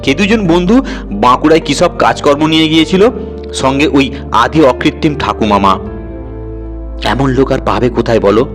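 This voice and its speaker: A man narrating an audio story in a conversational, expressive, dramatised storytelling manner. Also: mid-range voice, normal speed, long pauses, faint music behind.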